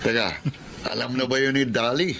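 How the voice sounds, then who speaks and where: A man's voice: mid-range voice, creaky, bright, forceful tone, full character voice. A man acting in a radio drama as a jovial, conniving drinking buddy who eggs on his friend. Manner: chummy, egging on. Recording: noisy radio drama track.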